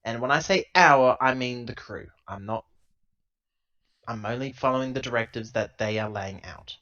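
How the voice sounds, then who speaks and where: A man playing a tabletop role-playing game, improvising dialogue and narration in a halting, self-correcting, emphatic way on a lo-fi recording. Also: mid-range voice, bright, forceful tone. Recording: dull lo-fi laptop recording.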